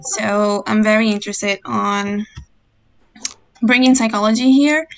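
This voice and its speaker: A woman in her twenties with a Brazilian Portuguese accent, introducing herself shyly with many pauses. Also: medium pitch, steady tone, clear, resonant tone, soft background music. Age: 20s. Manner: shy, hesitant, many ums. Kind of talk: self-introduction on a call.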